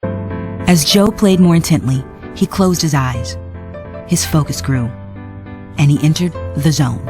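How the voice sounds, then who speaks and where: A woman reads a storybook aloud with a lower, lively voice that rises and falls as she tells it. Clear music plays steadily behind her narration. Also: a little slow. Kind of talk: scripted read-along narration.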